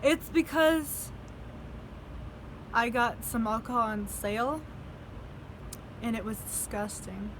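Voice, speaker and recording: A woman's voice: on the high side, slow pace, long pauses, noisy background. A young woman telling stories in a casual video diary, rambling, swearing freely and laughing between sentences. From United States. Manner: rambling, profane, laughs often. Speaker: vlogger.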